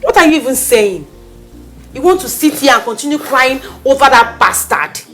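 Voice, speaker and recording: A woman's voice: mid-range voice, sing-song, a little slow, faint music behind. A woman with a Nigerian accent, acting a loyal best friend who insistently talks her heartbroken friend up. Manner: emphatic, insistent, coaxing pep talk. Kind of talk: skit acting.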